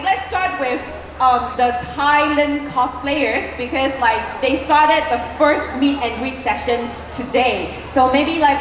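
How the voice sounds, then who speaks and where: A high-voiced woman hosts on stage, chatty and loose, full of fillers. Her voice rings through a big echoey hall. Event noise hums underneath. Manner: chatty, filler-heavy, laughing asides. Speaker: event host. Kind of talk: casual stage hosting. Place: large indoor event hall.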